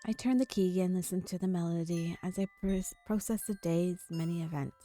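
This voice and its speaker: A woman's voice: lowish voice, fairly quick, dark tone, faint music behind. A woman hosting a gaming video, reading a visual novel aloud and now and then stumbling over a word.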